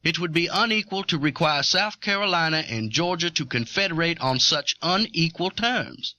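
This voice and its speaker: A man's voice: fairly high pitch, animated, normal speed, sharp tone, lo-fi sound. A man playing an assertive eighteenth-century planter delegate, speaking formally and insistently in a historical dramatization. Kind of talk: dramatized historical debate speech.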